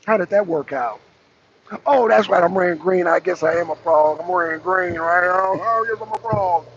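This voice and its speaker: A raspy-voiced man hosting a casual rant to his viewers from his truck, blunt and profane as he calls out rivals. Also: sits high, normal speed, lo-fi sound. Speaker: host. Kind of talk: casual rant to a live audience. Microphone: phone camera.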